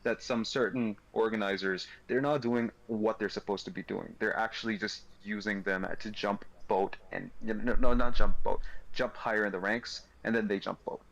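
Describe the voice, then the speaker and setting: A man's voice: on the low side, fairly even intonation, moderate pace, gravelly, few pauses, fairly bright tone, rough recording. A man from Kerala with a Bangalore accent, a caster speaking earnestly on a gaming panel discussion. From Kerala, India.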